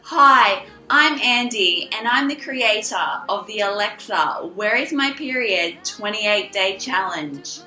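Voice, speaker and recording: A woman's voice: mid-range voice, lively intonation, takes it slowly, hardly any pauses, sharp tone. A woman hosting and introducing a documentary series in an upbeat, scripted presenting style. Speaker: host and creator of the challenge. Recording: echoey room, music behind.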